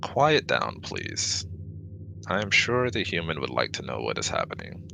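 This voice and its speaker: A man's voice: very deep voice, huge pitch swings, unhurried, vocal fry, background noise, full character voice. A man playing a formal, easily exasperated alien exobiologist with stiff, precise wording in a scripted ASMR audio roleplay.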